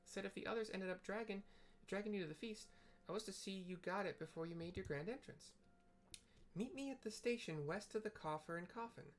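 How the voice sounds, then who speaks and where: A player voicing a brisk, motherly guild mistress, reading her game lines aloud in a folksy, chatty way. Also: mid-range voice, pitched above the performer's own voice, close to an everyday voice.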